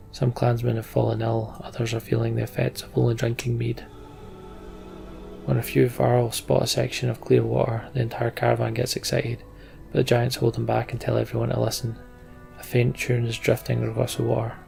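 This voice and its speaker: Scottish guy narrating his game playthrough, lots of fry in the voice, faint music behind. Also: medium pitch, monotone, moderate pace, a little mellow. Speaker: let's play host. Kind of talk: game commentary and dramatic narration of on-screen text.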